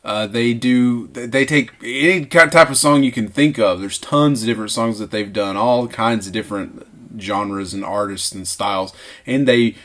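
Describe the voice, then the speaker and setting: A man's voice: lowish voice, normal speed, fairly bright tone. A man in his late twenties with a Southern American accent, co-hosting a casual podcast from a home man cave, easygoing and self-deprecating. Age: late 20s. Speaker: podcast co-host, teacher. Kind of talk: casual chat.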